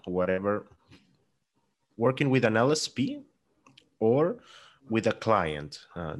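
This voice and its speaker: A male podcast host with a low voice asks questions that circle around and fill the gaps with "um" and "like." His tone is loose and conversational. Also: a bit bright. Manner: rambling, circling questions with fillers and laughs. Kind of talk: interview questions.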